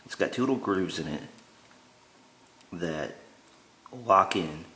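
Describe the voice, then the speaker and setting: A man giving a relaxed, plain-spoken DIY walkthrough in a garage, with lots of ums and uhs, recorded on a handheld camera. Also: low-pitched, takes it slowly, echoey room. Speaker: DIY builder showing his work. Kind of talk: casual how-to walkthrough. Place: indoor garage.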